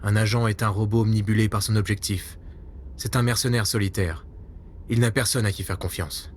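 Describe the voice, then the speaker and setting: A man's voice: on the low side, fairly even intonation, fairly quick, a little hiss or hum, lightly acted. A man playing a weary, self-doubting veteran secret agent in a spy audio drama, earnest and brooding. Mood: earnest, defensive.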